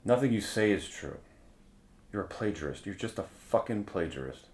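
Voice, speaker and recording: An actor playing an angry, defiant young writing student in a stage scene, accusing and profane. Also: male voice, on the low side, animated, moderate pace, a little mellow, close to an everyday voice. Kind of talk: theatrical stage acting. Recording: echoey room.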